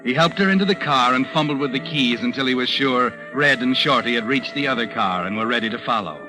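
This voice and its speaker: A man playing a sinister, all-knowing mystery narrator in an old radio drama, with measured, knowing delivery. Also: mid-range voice, lightly acted, rough recording. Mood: wry storytelling. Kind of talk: 1940s radio suspense narration. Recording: old radio recording with music underneath.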